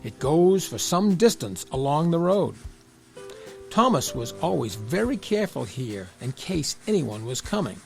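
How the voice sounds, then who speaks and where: A man's voice: a little high, sing-song, unhurried, gravelly, faint music behind. A man reading a scripted children's story as the narrator.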